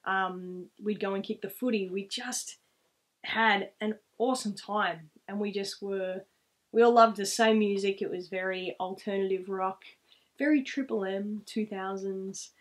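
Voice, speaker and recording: A woman's voice: medium pitch, steady tone, a little slow. A woman in her thirties with an Australian accent, telling stories casually to camera in a rambling, reflective way. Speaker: vlogger. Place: small room at home, indoors, seated.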